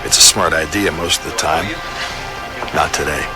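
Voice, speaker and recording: A man playing a worldly police detective, giving a deadpan, matter-of-fact hardboiled voice-over. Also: a bass voice, normal speed, over music. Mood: dry, knowing. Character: terse, worldly-wise male police detective narrating.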